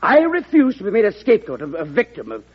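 An old, dull-sounding radio drama recording of a vain, defensive government secretary. The delivery is melodramatic, with extremely wide swings in pitch and a rough edge to the voice. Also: male voice, wildly swooping pitch, fast talker, exaggerated voice. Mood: indignant, threatening. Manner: melodramatic, indignant, pleading. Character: proud, defensive cabinet secretary, vain and pleading man. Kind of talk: classic radio drama acting.

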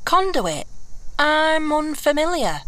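A woman's voice: sits high, sing-song, takes it slowly, pushed and bright, no room sound, full character voice. A woman playing a brash, blunt runaway heiress turned thief in a fantasy audio drama, sarcastic and colloquial with old-fashioned turns of phrase. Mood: curious, teasing.

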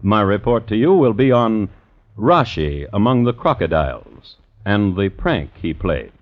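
An actor playing a dry, macabre gentleman crime historian who narrates a 1950s radio show in a scholarly, deadpan way. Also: on the low side. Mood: dryly genial. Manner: measured, wry, deadpan, scholarly. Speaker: narrator and host. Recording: dull vintage radio recording.

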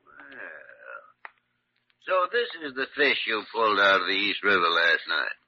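Crusty senior doctor, low and animated. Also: male voice, measured pace, no echo, heavily acted. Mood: gruff, teasing. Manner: blustery, dry, authoritative. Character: gruff, crusty senior male doctor with dry humor. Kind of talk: old-time radio drama acting. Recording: muffled vintage radio recording.

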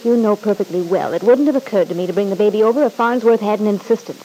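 A woman's voice: medium pitch, quick pace, warm tone, close to an everyday voice, low-quality recording. An actress playing a ruthless, scornful young mother in a vintage radio soap, cutting and coolly self-assured. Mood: irritated. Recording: old radio recording with faint hiss.

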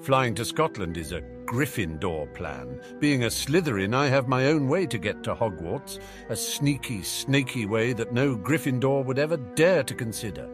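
A man voicing a sardonic, clever schoolboy wizard with a dry, teasing, deliberate manner in an audiobook. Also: mid-range voice, lively intonation, music in the background, close to an everyday voice. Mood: smug sarcasm.

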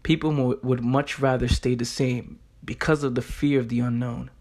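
A man's voice: medium pitch, fairly even intonation, moderate pace, a little mellow. A young man giving an informal motivational monologue in a blunt, streetwise, emphatic way, punctuated with rhetorical questions and casual swearing. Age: young adult. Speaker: motivational speaker.

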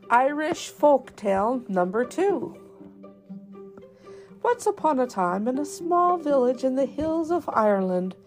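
A woman reading scripted children's folk tales in a measured, deliberate storybook delivery. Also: high-pitched, a little mellow.

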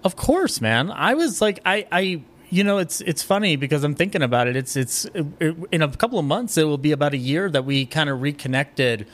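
A man chatting casually as a podcast guest, animated and jokey, with a rambling way of talking. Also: a little high, fairly quick, few pauses. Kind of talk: casual chat.

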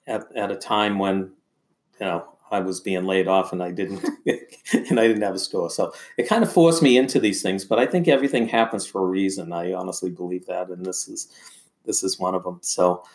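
An older man telling personal stories as a podcast guest, in a halting, rambling and earnest conversational way. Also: sits low.

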